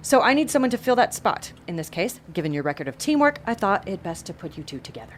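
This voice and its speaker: A woman's voice: mid-range voice, sing-song, fast talker, a little hiss or hum, close to an everyday voice. A woman playing a sardonic, bossy female devil who runs an office in a comedy audio drama. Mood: exasperated, commanding. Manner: bossy, sarcastic, exasperated.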